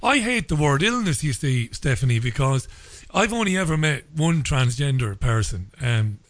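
A man's voice: medium pitch, big pitch swings, normal speed. A man with an Irish accent interviewing a guest, talking hesitantly with many ums. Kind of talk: casual interview talk.

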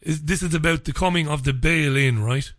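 A man's voice: on the high side, brisk, a bit bright, no room sound. A man hosting a radio interview, asking pointed questions with an emphatic, accusatory edge. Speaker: radio host.

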